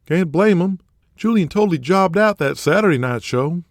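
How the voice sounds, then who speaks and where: A man doing a high-pitched, eager office sidekick. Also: expressive, moderate pace, no room sound, clean studio sound. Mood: curious. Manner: chipper, slangy, eager.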